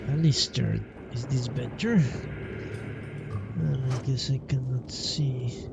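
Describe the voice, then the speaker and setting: A man's voice: mid-range voice, slow, vocal fry, over music. A man casually commentating on his own gameplay live, thinking aloud and muttering to himself with mild swearing. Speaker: live game streamer.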